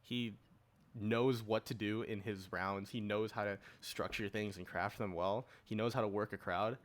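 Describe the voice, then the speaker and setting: A man's voice: on the low side, fairly even intonation, moderate pace. A man co-hosting a podcast, talking informally in a casual panel discussion and explaining his views. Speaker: podcast co-host.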